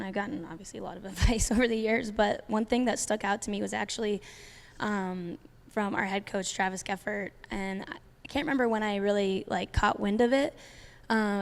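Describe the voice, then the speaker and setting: Smooth-voiced woman coach, casual. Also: mid-range voice, a little fast. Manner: relaxed, many ums and restarts. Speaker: head cross country coach. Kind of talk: casual panel talk.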